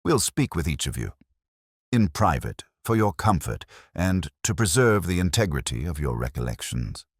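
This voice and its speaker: A man's voice: sits low, sing-song, normal speed, husky, dry recording, clean studio sound, heavily acted. A man voicing a coolly analytical, commanding detective with a clipped, formal, probing manner in a storybook mystery. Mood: measured, analytical.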